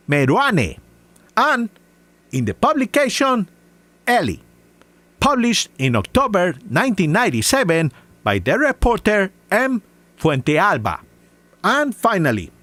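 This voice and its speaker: A man's voice: sits high, a bit bright, no echo. A man with a heavy foreign accent reading a scripted rebuttal, listing claims one by one with scorn. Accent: non-native English. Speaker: commentary video maker.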